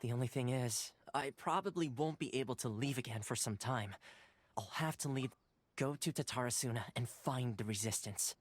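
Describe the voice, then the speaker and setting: A man voicing a calm, considerate young ally in a video game, explaining plans in a measured, articulate way. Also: medium pitch, close to an everyday voice. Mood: calm, reassuring.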